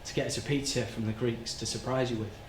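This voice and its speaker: A man's voice: medium pitch, steady tone, lightly acted. Man acting guilt-ridden working-class man, echoey stage. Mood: uneasy recollection. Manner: halting, plain-spoken, repeats and stumbles over words. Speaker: stage actor. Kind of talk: live theatrical monologue. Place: indoor soundstage. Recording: roomy, noisy soundstage livestream.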